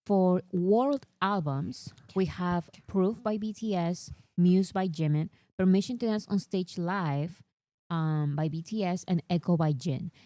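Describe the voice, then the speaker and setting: Excitable young woman with a strong accent. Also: on the low side, dry recording. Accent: non-native English accent. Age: young adult. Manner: excitable, whiny, talks fast about fandom news. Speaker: host. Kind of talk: casual chat.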